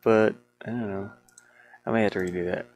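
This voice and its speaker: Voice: low-pitched, fairly even intonation, brisk, darkish tone, no room sound. A game developer talking casually to themselves while they work, muttering with playful asides. The speaker's voice could be a man's or a woman's.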